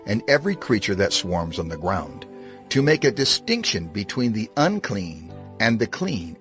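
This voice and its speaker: A man reading scripture in a solemn, measured, declarative way, as dramatized narration. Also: on the low side. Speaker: scripture reader. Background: background music.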